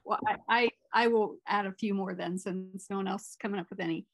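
A woman's voice: medium pitch, fairly even intonation, a little fast, a little hiss or hum, low-quality recording. A middle-aged woman moderating a conference Q&A over a video call.

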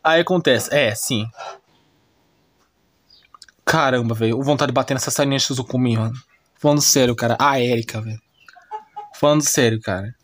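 A man's voice: medium pitch, normal speed, pauses often, a bit bright, no room sound. A man with a Brazilian accent casually retelling an anime story off the cuff, rambling and slangy, often correcting himself. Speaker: YouTube anime recapper.

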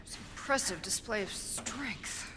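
A woman's voice: a little high, expressive, a little slow, background noise. A woman playing a cold, preoccupied middle-aged scientist in a video game, clipped and dismissive. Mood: clinical. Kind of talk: video game cutscene acting.

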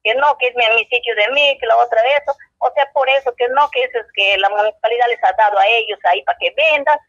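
A woman's voice: fairly high pitch, quick pace, fairly bright tone, dry recording. A woman shop owner with a Peruvian Spanish accent, speaking in a rambling, indignant way in a street interview. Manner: rambling, indignant, with many restarts. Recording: dull dry lo-fi sound.

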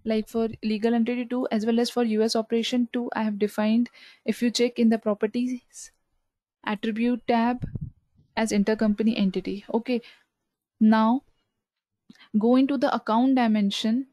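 A woman software trainer narrating a step-by-step screen tutorial in an instructional way, repeating key points. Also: a little high, level tone, normal speed, pauses often, dry recording.

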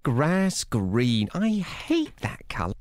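A man voicing a fussy young robed hero in an adventure game, with expressive, theatrical delivery. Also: mid-range voice, slow pace, over music. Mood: fussy disgust. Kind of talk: scripted game voice acting.

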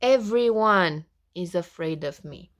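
A woman's voice: lowish voice, lively intonation, takes it slowly. A woman voicing a small, plucky, boastful mouse in a children's story, in a cheery, sing-song way. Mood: bold, chirpy.

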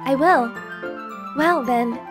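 A woman's voice: high voice, slow pace. A woman voicing a bashful, polite, hesitant teenage schoolgirl from a visual novel. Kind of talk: visual novel character reading. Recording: music behind the voice.

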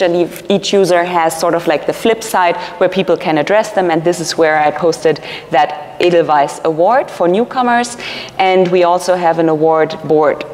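A woman with a somewhat low voice delivers a keynote lecture at a podium. She explains her research earnestly, with fillers and small restarts. Her voice rings out with the long reverb of a large hall. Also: a little mellow. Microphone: podium mic, filmed from a far camera.

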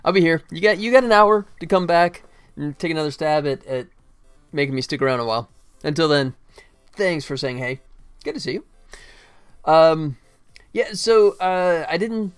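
A man's voice: on the high side, normal speed, long pauses, clean tone, soft background music. A middle-aged man chatting casually and wryly on a livestream. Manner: easygoing, wry, self-deprecating. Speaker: game developer livestreamer. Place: indoor desk, livestream webcam setup.

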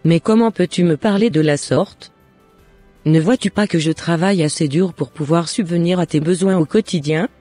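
A woman as a long-suffering mother, mellow and dry. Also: on the low side, normal speed, dry recording, soft background music, natural voice. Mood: hurt, sorrowful. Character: long-suffering, devout, hard-working shopkeeper mother.

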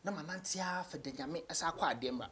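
A man's voice: fairly high pitch, quick pace, fairly bright tone, slightly rough. A young Ghanaian man, a rapper being interviewed, casually telling stories in a mix of English and Twi. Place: small radio studio office, seated on a couch.

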